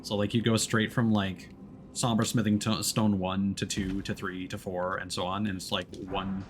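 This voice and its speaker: A man giving casual live commentary as he plays a video game, thinking aloud in a halting way. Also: low-pitched, little pitch movement, background noise. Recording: game music behind the voice. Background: game music.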